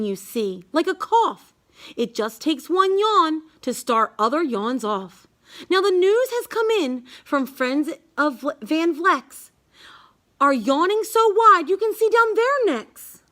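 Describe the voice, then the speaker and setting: Very high-pitched woman reading a storybook slowly. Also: sing-song, fairly bright tone. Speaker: storybook reader. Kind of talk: read-aloud of a rhyming children's picture book.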